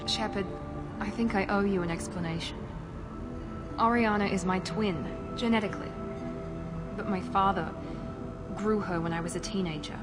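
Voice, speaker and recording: A cool, guarded female operative, played by a woman who speaks in crisp, controlled sentences. Her voice sits in a middle range, with clear game music under the scene. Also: medium pitch, normal speed, pauses often, natural voice. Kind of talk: video game cutscene dialogue. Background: game score music.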